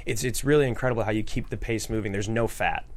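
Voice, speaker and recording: A man's voice: lowish voice, fairly even intonation, fast talker. A man chatting as a panelist, enthusiastic and full of praise while asking questions. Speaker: panelist on a script discussion show. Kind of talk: casual panel discussion.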